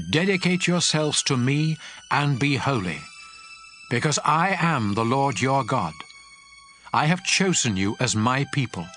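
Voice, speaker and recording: A man's voice: medium pitch, fairly bright tone. A man playing God as a stern lawgiver, solemn and commanding in a dramatized scripture reading. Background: music.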